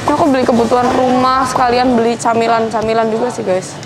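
Chatty young woman shopping, higher voice. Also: flat delivery, quick pace, noisy background. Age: young adult. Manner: chatty, thinking aloud while browsing shelves. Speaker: vlogger. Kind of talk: casual shopping vlog chat. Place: large supermarket indoors.

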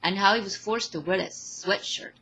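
A woman's voice: medium pitch, normal speed, fairly bright tone, breathy edge, rough recording. A woman reading scripted story narration as the narrator.

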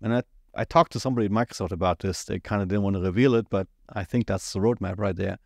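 Brief: German-accented man, 40s, low voice, laid-back podcast co-host, dry close mic. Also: quick pace, no room sound. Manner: relaxed, rambling with many fillers. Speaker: IT company owner, podcast co-host. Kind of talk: casual podcast chat. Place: small studio set. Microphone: studio mic on boom at the mouth.

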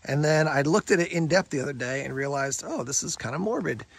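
A man's voice: on the high side, animated, fairly quick, vocal fry, slightly rough. A man casually showing off his outdoor gear outdoors beside a pickup truck, chatty and enthusiastic. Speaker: gear reviewer. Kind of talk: casual gear show-and-tell.

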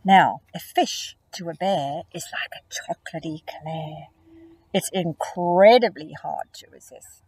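A middle-aged woman teacher reading a picture book aloud to children in an expressive storytelling manner, recorded outdoors on a phone camera held close. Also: lowish voice, unhurried, breathy edge, no room sound. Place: outdoors in a grassy field.

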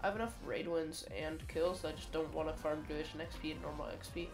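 Croaky young man streaming a game. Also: on the high side, flat delivery, fast talker. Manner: laid-back slangy chatter, swearing. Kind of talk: casual gameplay commentary. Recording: loud game music behind voice. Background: game music.

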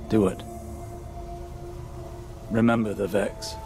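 An actor playing a solemn, sorrowful, loving sorcerer in a video game, with measured, weighty delivery. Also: male voice, on the low side. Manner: measured, weighty, pausing between phrases. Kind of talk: dramatic video game acting.

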